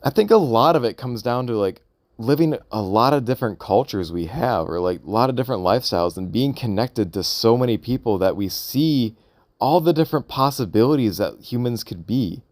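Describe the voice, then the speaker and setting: A man's voice: medium pitch, lively intonation, a little mellow, no echo, clean studio sound. A man thinking aloud in a casual, unscripted vlog monologue, hesitant and rambling as he works through his thoughts. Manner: hesitant, halting, rambling with repeated questions. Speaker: vlogger recording an audio log.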